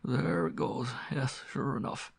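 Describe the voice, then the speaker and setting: Guy doing an old country butler for a storybook, humble n superstitious, muttery, gravelly, close dry sound. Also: mid-range voice, fairly even intonation, a little slow, no echo, pitched above the performer's own voice. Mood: muttering, reassuring.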